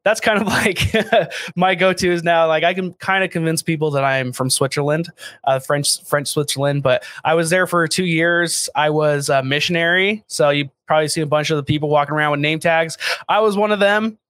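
A man's voice: a little high, fairly even intonation, a little fast, fairly bright tone, dry recording. An American man in his late twenties, a podcast guest and startup founder, talking casually and with self-deprecating humor, full of fillers and restarts. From United States. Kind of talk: casual interview talk.

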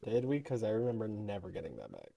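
A young man co-hosting a casual podcast, joking in a dry, deadpan way and drawing out his words. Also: on the low side, quick pace, vocal fry. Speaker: podcast co-host. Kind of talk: casual chat.

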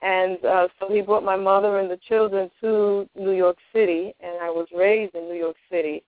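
A woman's voice: mid-range voice, fairly even intonation, normal speed, no echo. A woman from Honduras, a guest speaker telling her life story on a radio talk show. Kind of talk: radio interview. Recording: tinny phone-like radio audio.

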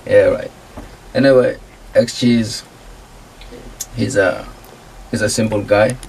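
A laid-back man with a lowish voice tells his story as a radio guest, easygoing and slangy. He sits a little back from the mic, and a light hiss runs underneath. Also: slow, gravelly, distant mic, poor audio. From South Africa. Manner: relaxed, laid-back slang, laughs easily. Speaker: guest music producer and artist. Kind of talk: casual interview talk.

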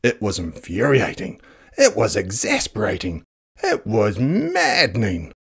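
A narrator reading a children's book aloud in a dramatic, playful, expressive style. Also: medium pitch, unhurried, creaky, breathy edge. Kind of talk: expressive storybook read-aloud.

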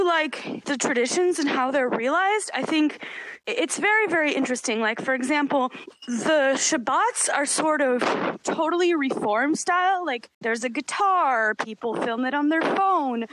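A woman's voice: high-pitched, animated, normal speed, a bit bright, poor audio. A woman guest answering interview questions in a chatty way, full of "like" and "you know", sounding enthusiastic. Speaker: guest, youth educator.

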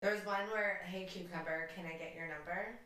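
A woman's voice: on the low side, fairly even intonation, brisk, bright, forceful tone, a little hiss or hum. A woman co-hosting a casual podcast chat, playful and pushy, egging her friend on. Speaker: podcast co-host. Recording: very echoey room, voice distant.

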